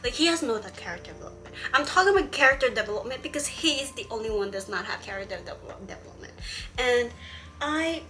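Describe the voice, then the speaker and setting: Bright-voiced woman vlogger, exasperated chat. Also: on the high side, lively intonation, fast talker, slight background noise. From Hawaii. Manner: chatty and exasperated, many fillers and restarts. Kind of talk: casual chat about a comic.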